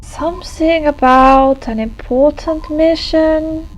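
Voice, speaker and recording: A woman's voice: high-pitched, fairly even intonation, slow pace, low-quality recording. A woman playing a nervous, grumbling submarine crew member in a radio play, whiny and hesitant, with faint noise behind. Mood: alarmed.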